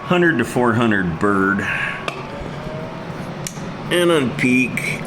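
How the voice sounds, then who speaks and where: A man's voice: mid-range voice, extremely slow. A man giving an informal, unscripted hands-on explanation of his hobby project in a blunt, colloquial, plain-spoken way. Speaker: hobbyist presenter demonstrating his amplifier project. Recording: loud steady background hum.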